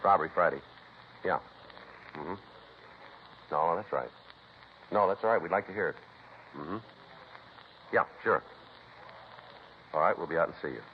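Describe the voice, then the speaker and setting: Deep-voiced, deadpan American police detective. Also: male voice, a little slow, pauses often. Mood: dry, businesslike. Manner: clipped, deadpan, businesslike. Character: terse, matter-of-fact police detective narrating his cases. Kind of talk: 1950s radio police drama acting and narration. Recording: noisy, muffled 1950s radio recording.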